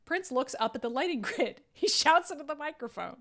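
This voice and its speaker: A woman's voice: sits high, animated, a little fast, a bit bright. A woman reading stage directions aloud in a live comedic table read, breaking off into incredulous, mocking asides.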